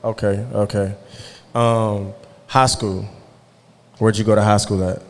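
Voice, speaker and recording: A man's voice: on the low side, flat delivery, slow, long reverb tail. A man hosting a casual podcast interview, laid-back and slangy, often asking "you know what I'm saying.". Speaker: podcast host.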